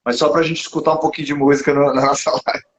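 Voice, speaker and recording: A Brazilian man hosting a casual live chat, rambling and self-mocking, laughing often, a little hoarse. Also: a little high, flat delivery, quick pace. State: slightly hoarse. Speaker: podcast host. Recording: dull lo-fi sound.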